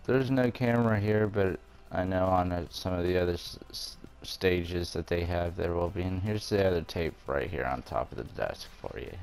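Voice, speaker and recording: Low voiced guy with some fry explaining a video game step by step, music going behind him. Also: normal speed, hardly any pauses, darkish tone. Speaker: gamer presenting a walkthrough. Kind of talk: casual video game walkthrough commentary.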